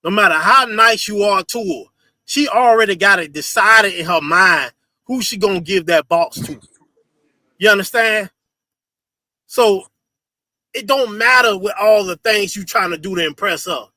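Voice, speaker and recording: A man hosting a live stream, reacting to a video with loud, profane, slangy preaching and asking "you understand?" again and again. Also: high voice, unhurried, dry recording. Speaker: host of a street preaching talk stream. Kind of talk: casual commentary reacting to a video.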